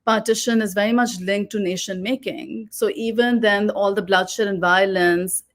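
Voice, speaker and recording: Woman from Pakistan, guest author, reflective and unhurried, long winding sentences, mid-range voice. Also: mid-range voice, steady tone. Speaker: guest author and oral historian. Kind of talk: long reflective interview answer.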